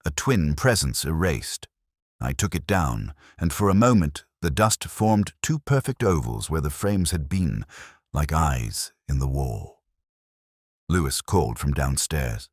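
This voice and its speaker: A man narrating a first-person detective story in a measured, literary, brooding manner. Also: a bass voice, no room sound, clean studio sound.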